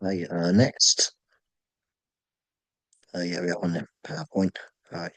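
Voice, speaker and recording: A man with a sore throat hosting an online draw, talking in a halting, low-key way with many ums and pauses. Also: animated, fairly bright tone, dry recording. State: sore throat, voice nearly gone. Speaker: host running a fantasy draw. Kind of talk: casual screen-share commentary.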